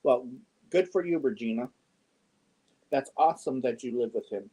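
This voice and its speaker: A man in his fifties chatting casually with viewers on a yarn livestream, joking and often clearing his throat. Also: medium pitch, normal speed, mellow, low-quality recording. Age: 50s. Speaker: yarn channel host. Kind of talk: casual livestream chat answering viewer comments.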